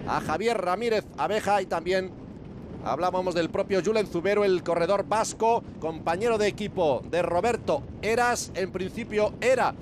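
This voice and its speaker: A man from Spain with a Castilian accent giving commentary on race highlights as a sports commentator. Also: normal speed, noisy background. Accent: Spanish (Spain).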